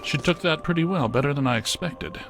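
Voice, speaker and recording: A man playing an unflappable, brainy ghost-hunting scientist in a video game, speaking in a precise, technical, deadpan way. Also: on the high side, fairly quick, music in the background. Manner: dry, precise, technical delivery.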